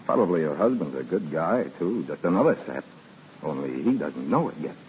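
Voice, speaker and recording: A proud jilted rancher, hard-boiled and full of slang. His pitch swings widely in golden-age radio style. The recording is dull with faint hiss. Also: sing-song, fairly quick, a little mellow. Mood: bitter. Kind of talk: 1930s-40s radio drama acting. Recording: muffled, hissy old radio broadcast.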